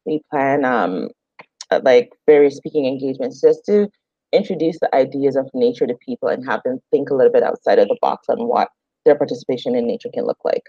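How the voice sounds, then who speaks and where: A woman's voice: extremely low pitch, fairly quick, slightly rough, dry recording. Chatty woman from Jamaica, dry sound. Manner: relaxed, chatty, frequent fillers. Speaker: guest, outdoor group founder. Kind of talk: casual conversational interview answers. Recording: dry, slightly dull remote audio.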